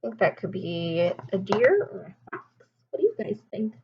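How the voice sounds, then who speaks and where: A woman's voice: mid-range voice, big pitch swings, normal speed, lo-fi sound, a little hiss or hum. A woman teacher reading a storybook aloud to young children, expressively, with simple explanations and questions.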